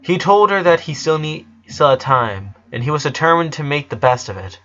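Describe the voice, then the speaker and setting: A man's voice: fairly high pitch, lively intonation, moderate pace, a bit bright. Man narrating a story, slightly muffled.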